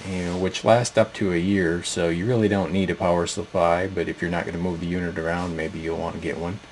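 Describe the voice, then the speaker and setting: A man's voice: lowish voice, slight background noise, rough recording. A man giving an instructional product demo narration in a plain, explanatory way. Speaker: product presenter.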